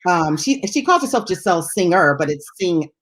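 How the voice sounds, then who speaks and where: A woman's voice: fairly low pitch, sing-song, brisk. A woman hosting a music show in casual chat, effusive and emphatic about what she likes. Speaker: radio show host and music DJ.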